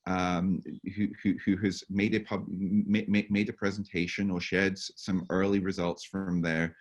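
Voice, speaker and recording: A man with a hoarse, fading voice and a cough, answering workshop questions over a video call with many stammered restarts and fillers. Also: deep voice, level tone, normal speed. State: hoarse, losing his voice with a cough. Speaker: workshop presenter.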